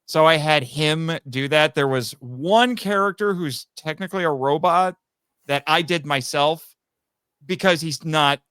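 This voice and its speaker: A man with a slightly high, very bright voice chats as a podcast guest. He tells anecdotes in an animated, casual way with frequent chuckles, and the sound is close and dry. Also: unhurried, clear, resonant tone, dry recording. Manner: animated, chuckles often while talking. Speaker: podcast guest, voice actor and writer. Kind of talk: casual interview chat, telling anecdotes.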